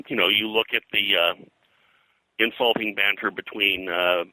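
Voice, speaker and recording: An older man speaking as an interview guest, reminiscing in a halting, digressive way and often clearing his throat. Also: fairly low pitch, steady tone, pushed and bright, no room sound, rough recording. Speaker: guest, pulp and comics historian. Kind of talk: interview conversation, reminiscing about his work.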